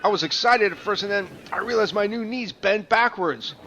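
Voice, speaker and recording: A man's voice: sits high, normal speed, pushed and bright. An actor playing a blunt, grumbling, sweary castaway man in a comedy radio play. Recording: dull, noisy lo-fi home recording.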